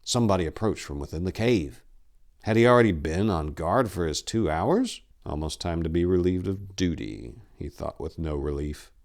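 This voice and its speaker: A man giving a solemn, deliberate dramatic reading of a dark fantasy horror story, in a theatrical manner. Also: sits low, expressive. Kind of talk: dramatic audiobook narration.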